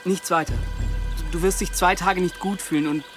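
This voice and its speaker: Guy playing young secretive lover, hushed earnest pleading, highish voice, music under it, audio drama. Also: fairly even intonation, moderate pace, close to an everyday voice. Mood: urgent, secretive. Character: secretive young pious lover, soothing and evasive. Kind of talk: German audio drama acting, intimate dialogue.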